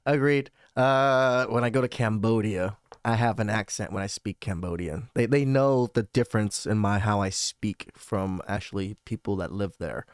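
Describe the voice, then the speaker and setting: A man's voice: fairly low pitch, normal speed, hardly any pauses, clear, resonant tone, dry recording. Laid-back male podcast host, dry sound. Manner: laid-back, swears, laughs loudly at clips. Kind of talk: casual reaction commentary.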